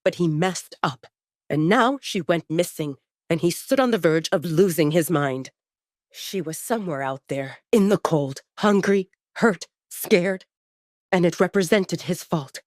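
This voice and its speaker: Lower-voiced woman narrating an audio drama story, really dry close recording. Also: measured pace, dry recording. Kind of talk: dramatic scripted fiction narration.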